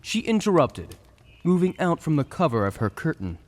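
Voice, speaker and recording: Animated male fantasy story narrator. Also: fairly high pitch, moderate pace. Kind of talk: dramatic scripted story narration. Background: soft music.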